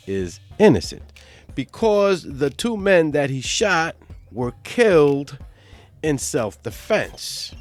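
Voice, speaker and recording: A man hosting a true crime channel, giving a stern scripted narration while hoarse from a cold. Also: medium pitch. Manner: stern, emphatic delivery.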